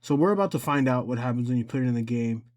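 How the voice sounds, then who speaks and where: A man presenting an informal step-by-step software tutorial, rambling a little and thinking out loud as he goes. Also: medium pitch, animated, racing. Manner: informal, rambling, thinks aloud and talks to himself. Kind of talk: casual screen-recorded software tutorial.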